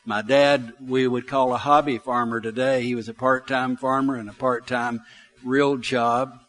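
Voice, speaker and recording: A man's voice: medium pitch, flat delivery, a little slow, fairly bright tone. An older male pastor in his sixties speaks with a Southern American accent and a mid-range voice. He delivers his sermon as conversational, down-home storytelling, addressing his listeners directly and leaning on repetition for emphasis. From Alabama, United States. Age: 60s. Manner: folksy, conversational storytelling with repeated phrases for emphasis. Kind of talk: sermon with personal anecdotes.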